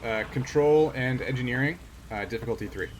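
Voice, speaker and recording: A man's voice: medium pitch, fairly quick, dull audio. Man game master over background noise. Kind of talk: casual tabletop game narration.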